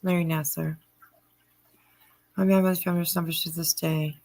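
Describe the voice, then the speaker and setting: A middle-aged woman hosting a livestream, chatting casually and answering viewer comments in a halting way with frequent pauses. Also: on the low side, little pitch movement, darkish tone, no room sound. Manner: halting, pausing often mid-sentence. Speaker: livestream host.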